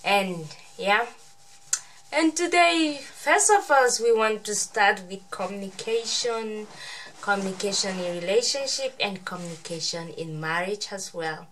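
A woman's voice: medium pitch, lively intonation, measured pace, fairly bright tone, a little hiss or hum, poor audio. A woman in her thirties hosting a casual family vlog, speaking to viewers and often repeating words. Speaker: vlogger host. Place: small bedroom, indoors.

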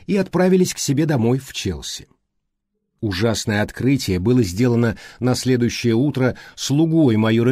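A man reading a mystery audiobook as scripted narration, with measured, clearly phrased delivery. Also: mid-range voice, a little mellow, dry recording, clean studio sound.

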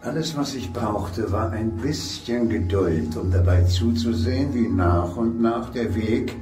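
An actor playing a cold, sadistic killer in a radio play, taunting and deliberate in his menace. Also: on the low side, dark tone, lightly acted. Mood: smug, menacing. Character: cold sadistic killer, wealthy inventor's son. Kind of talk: German audio drama acting. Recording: roomy, slightly distant studio staging under music.

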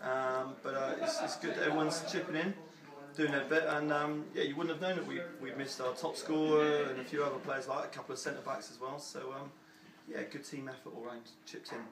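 A man's voice: a little high, fairly even intonation, a little fast, over a noisy crowd. A young English man, a goalkeeper, talking modestly with lots of hedging about team effort. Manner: modest, self-deprecating, hedging. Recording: roomy, off mic, people around.

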